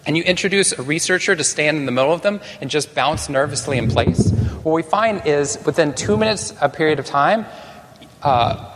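Animated Texan man speaking in a reverberant hall. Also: fairly high pitch, normal speed, slight background noise. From Texas. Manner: animated, self-deprecating humor with a few restarts. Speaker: conference speaker. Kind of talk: keynote talk to a live audience. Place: large conference hall.

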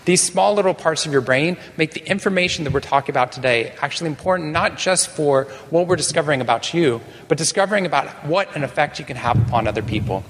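A man from Texas giving a conference talk to a live audience, animated and self-deprecating, with some restarts. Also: fairly high pitch, in a large hall. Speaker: conference speaker. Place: large conference hall.

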